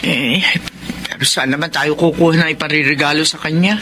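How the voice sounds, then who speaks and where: A woman's voice: deep voice, steady tone, a little fast, lightly acted. An actress playing a caring middle-aged mother in a Tagalog radio drama. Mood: concerned. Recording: noisy radio drama broadcast.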